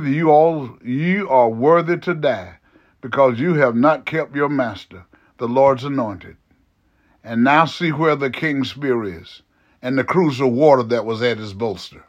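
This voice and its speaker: A man's voice: medium pitch, a little slow, highs cut off, lightly acted. A man reading an earnest young warrior's plea. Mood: pleading. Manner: earnest, pleading, raised when calling out. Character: earnest young male warrior pleading with a king.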